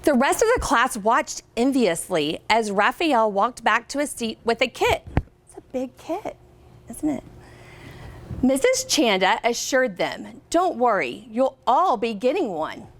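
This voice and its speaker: An American woman reading a picture book aloud to children as a guest reader, expressively, pausing to ask her listeners questions. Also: fairly high pitch, sing-song. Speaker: guest reader, company representative.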